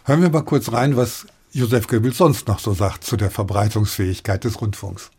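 A man's voice: lowish voice, fairly even intonation, moderate pace, dark tone, a little hiss or hum. A man hosting a radio discussion, asking questions and introducing clips. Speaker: interview host.

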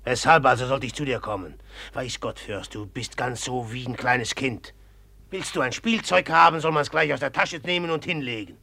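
A man's voice: mid-range voice, moderate pace, creaky, bright, forceful tone, no echo. A man acting a brooding, jealous rival in a German radio play, blunt, sardonic and needling with resentment. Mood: bitter, mocking.

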